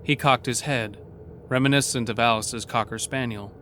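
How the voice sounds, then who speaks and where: A man narrating a scripted horror story in a measured, literary, dramatic manner. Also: medium pitch, steady tone, sharp tone, a little hiss or hum.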